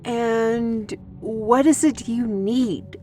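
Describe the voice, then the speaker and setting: A woman's voice: a little high, drawn-out words, mellow. A woman playing a spirited young steward on a quest in a fantasy audio drama, earnest and plucky. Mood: incredulous. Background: background music.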